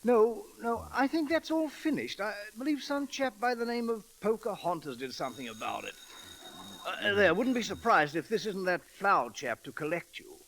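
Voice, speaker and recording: An actor playing a sheepish, vague, genteel English lord in a radio comedy, with an upper-class British accent and halting speech. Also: male voice, sits high, lively intonation, fairly bright tone, exaggerated voice. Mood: flustered, vague. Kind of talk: 1940s radio comedy acting. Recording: crackly old radio broadcast recording.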